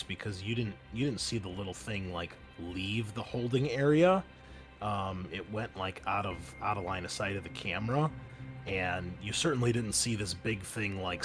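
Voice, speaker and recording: A man's voice: fairly low pitch, fairly bright tone. A man narrating a tabletop roleplaying game as game master in a casual, loose way. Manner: casual, profane.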